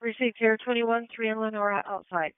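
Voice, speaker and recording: A woman's voice: on the high side, fairly even intonation, fairly quick, smooth, dry recording. A woman working as a police dispatcher, speaking in terse, procedural radio calls heard over a scanner. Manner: clipped, procedural. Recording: phone-like narrow-band police radio.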